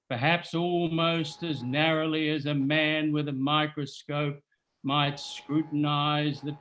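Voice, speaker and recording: Male, grave radio-drama narrator, played by an amateur actor. Very slow and measured, slightly high pitch, noisy recording. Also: fairly bright tone. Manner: solemn, measured, deliberate.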